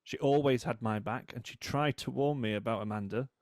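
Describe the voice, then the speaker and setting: An actor playing a cool, needling British man in a drama, a wronged brother with a sardonic, deliberate way of talking. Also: medium pitch, close to an everyday voice. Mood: firm, protective. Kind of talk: audio drama acting.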